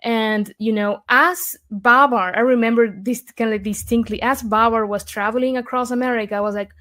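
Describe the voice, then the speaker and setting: A woman's voice: fairly high pitch, fairly even intonation, moderate pace, a bit bright. A young woman from Argentina, a guest in an interview, speaking earnestly and from the heart, with many fillers and restarts. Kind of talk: conversational interview.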